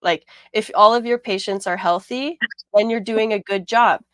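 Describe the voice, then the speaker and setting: A woman with a raspy voice hosting a casual radio talk show, rambling a little with fillers and repeated words. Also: medium pitch, moderate pace, no room sound.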